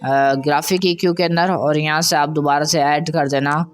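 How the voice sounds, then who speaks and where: A man's voice: on the high side, steady tone, normal speed. A man presenting an audio editing tutorial in a casual, conversational way, often checking that listeners follow. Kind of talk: informal software tutorial.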